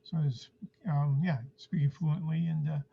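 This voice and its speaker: A man's voice: fairly high pitch, little pitch movement, unhurried, vocal fry. A man from Argentina, chatting casually as a comedian guest, hesitant with many fillers. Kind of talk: casual chat. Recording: dull lo-fi call audio.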